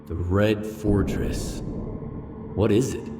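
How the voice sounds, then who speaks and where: A man's voice: lowish voice, steady tone, slow pace, music in the background. An actor playing a determined, stoic male loner hero in a video game, terse and understated. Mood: resolute, wary. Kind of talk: dramatic video game cutscene acting.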